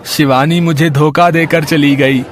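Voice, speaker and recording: A man's voice: a little high, steady tone, unhurried, natural voice. A man voicing a deceitful young city son speaking emphatically to his mother in a story. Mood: regretful, miserable.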